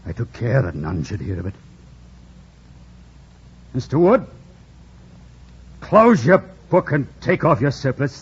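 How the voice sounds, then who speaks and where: An actor playing a proud, bitter, commanding gentleman in anguish, imperious and theatrical in an old radio drama style. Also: mid-range voice, husky. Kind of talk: 1940s-50s radio drama acting. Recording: dull, noisy old radio broadcast recording.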